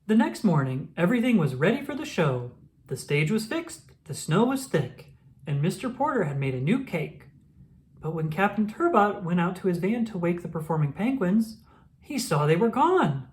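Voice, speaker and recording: A woman reading a children's picture book aloud in an expressive storytelling manner. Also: fairly low pitch, normal speed. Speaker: storybook reader.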